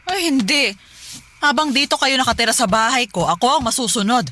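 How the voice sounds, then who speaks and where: A woman's voice: on the high side, fairly quick, bright, forceful tone. A woman acting as a nagging, strict middle-aged mother in a radio drama, short-tempered and scolding, with faint noise in the recording. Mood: stern scolding. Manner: scolding, naggy.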